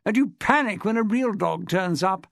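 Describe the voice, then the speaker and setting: A man's voice: high voice, moderate pace, gravelly, a bit bright, dry recording, clean studio sound, voice pushed up. A man voicing a brash, eccentric man with a posh public-school manner, in a storybook reading.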